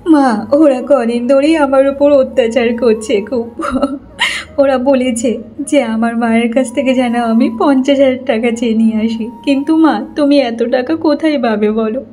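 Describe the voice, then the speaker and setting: A woman's voice: high voice, steady tone, normal speed, mellow. A woman playing a wronged young housemaid wife in a cartoon story, emotional and pleading, tearful yet defiant. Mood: tearful, hurt. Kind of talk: Bengali cartoon story acting.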